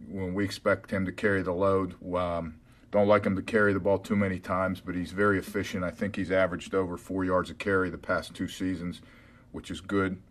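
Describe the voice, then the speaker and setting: Man, American accent, very low voice, football head coach, measured hesitant answers, media conference call.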